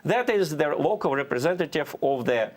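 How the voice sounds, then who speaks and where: A man's voice: fairly high pitch, lively intonation, normal speed. A man from Russia with a Russian accent, speaking as a sardonic, argumentative commentator in a political panel discussion.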